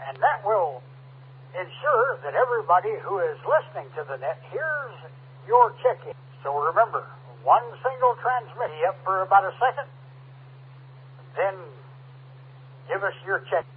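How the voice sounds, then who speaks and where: A man acting as an amateur radio net controller, reading out procedures with off-the-cuff asides over a two-way radio. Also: sits high. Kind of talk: scripted net preamble with ad-libbed asides. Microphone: radio transceiver microphone. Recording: tinny narrowband radio link, hum and static.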